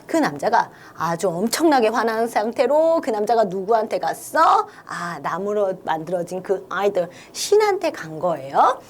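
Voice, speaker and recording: A woman's voice: medium pitch, moderate pace, hardly any pauses. A woman teaching an online English lesson, walking young students through a story line by line and coaxing them to think along. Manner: lively, coaxing, talks to students directly.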